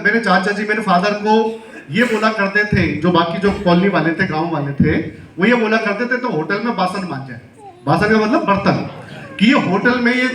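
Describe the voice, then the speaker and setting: High-voiced man speaking in an echoey hall. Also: a bit bright, distant mic. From Delhi, India. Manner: engages the audience with questions. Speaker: seminar speaker. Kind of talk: motivational seminar talk. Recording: echoey hall, mic heard from afar.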